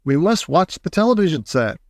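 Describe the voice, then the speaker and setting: A man plays an eccentric storybook factory owner, excitable and exclamatory. The voice sits fairly high and is recorded dry. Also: brisk, dry recording. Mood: worried. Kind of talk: children's storybook character voice.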